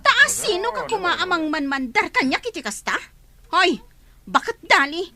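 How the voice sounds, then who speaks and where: Shrill, very high-pitched defiant Filipina daughter. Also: female voice, very animated, normal speed, bright, forceful tone, heavily acted. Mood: defiant, scornful. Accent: Filipino, Ilocano. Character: defiant, rude young adopted daughter. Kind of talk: Ilocano radio drama acting.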